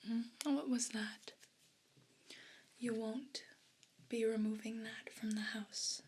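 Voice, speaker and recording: A woman voicing a haughty, offended evil pet cat in an ASMR role play, speaking in a breathy whisper with a pompous, formal, deliberate manner. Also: on the high side, little pitch movement, a little mellow. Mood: indignant, suspicious.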